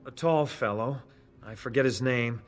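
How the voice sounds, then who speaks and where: A man's voice: medium pitch, moderate pace, soft background music, lightly acted. An actor playing a nervous, evasive elf spy in a fantasy game, defensive and pleading as he stammers. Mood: frightened, pleading.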